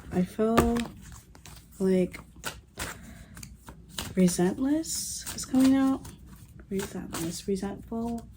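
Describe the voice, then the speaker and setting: A young woman giving a casual psychic reading to her viewers, musing and dreamy, with soft giggles. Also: fairly high pitch, drawn-out words, long pauses, slight background noise, rough recording. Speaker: psychic reader channeling messages. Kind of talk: casual spiritual reading to camera.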